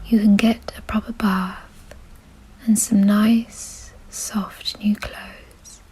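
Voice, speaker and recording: Woman acting as kindly vampire noblewoman, hushed airy whisper, slow pace, reassuring, doting, refined, ASMR roleplay. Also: medium pitch, level tone, poor audio. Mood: fond, doting. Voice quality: soft, breathy whisper. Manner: gentle, reassuring, genteel, unhurried. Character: kind, doting aristocratic female vampire owner.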